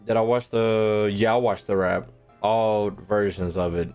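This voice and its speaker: A man's voice: on the low side, flat delivery, unhurried, a little mellow, clean tone. A man doing casual gameplay commentary as a streamer, slangy and sweary, often repeating his phrases. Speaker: gaming streamer. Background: game music.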